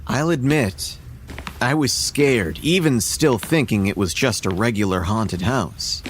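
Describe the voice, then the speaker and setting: A man's voice: mid-range voice, expressive, measured pace, slight background noise. A man reading a scripted first-person horror story as its narrator. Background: music.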